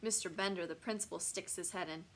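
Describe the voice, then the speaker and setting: A woman reading a children's bedtime story expressively, with dramatic, deliberate phrasing and pauses for suspense. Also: on the low side, fairly even intonation, a little hiss or hum, poor audio. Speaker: storybook reader.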